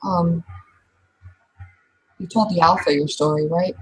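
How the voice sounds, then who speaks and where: Lady doing a wary polite werewolf in a tabletop rp, really husky rough voice, hesitant and probing. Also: fairly low pitch, steady tone, unhurried, low-quality recording.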